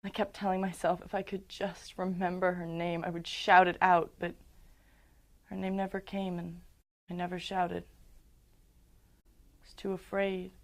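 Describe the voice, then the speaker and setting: A young woman in her late teens performing a dramatic monologue as a guilt-ridden, haunted teenage survivor, halting and intense. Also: fairly low pitch, flat delivery. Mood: fearful, remorseful.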